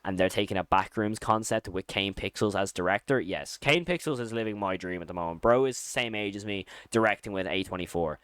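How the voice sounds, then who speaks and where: A man's voice: fairly low pitch, brisk, few pauses, a bit bright, smooth, no echo. A man giving casual, opinionated commentary as a video host, talking in an informal, slangy and enthusiastic way. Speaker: YouTube host ranking horror series.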